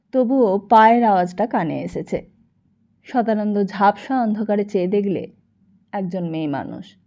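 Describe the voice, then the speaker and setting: A woman narrating a literary novel as an expressive audiobook storyteller. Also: medium pitch.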